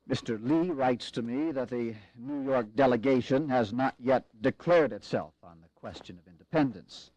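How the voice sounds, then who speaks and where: A man playing a pragmatic, weary army commander in a historical audio drama, speaking in a measured, grave and formal way with wry resolve. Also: mid-range voice, darkish tone, dry recording, lo-fi sound, lightly acted. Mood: resigned, explaining.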